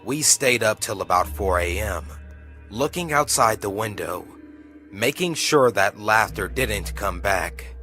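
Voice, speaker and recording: Bright-voiced man narrating a creepy story. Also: lowish voice, lively intonation, measured pace. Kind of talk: scripted first-person horror story narration. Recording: music behind the voice. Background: background music.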